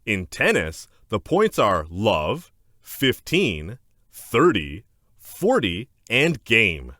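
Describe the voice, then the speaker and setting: A man narrating a children's educational book aloud in a scripted, clear and deliberate instructional manner. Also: on the low side, huge pitch swings, pushed and bright, no room sound, clean studio sound.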